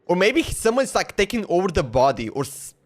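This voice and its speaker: A man's voice: high voice, sing-song, fairly quick. A man, reacting casually to a game and musing aloud. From Slovenia. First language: Slovenian. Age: 20s. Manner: musing aloud, speculative, repetitive. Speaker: game livestreamer. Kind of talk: casual live reaction commentary.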